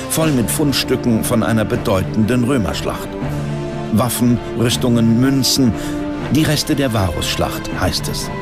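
Male narrator for history documentary style, scripted delivery, medium pitch, strong music bed. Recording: music under the voice. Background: prominent music.

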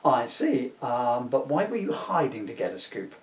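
A man's voice: medium pitch, big pitch swings, normal speed, roomy sound, recorded from a distance, natural voice. A man voicing an earnest teenage boy superhero in a comic storybook reading, questioning and declamatory.

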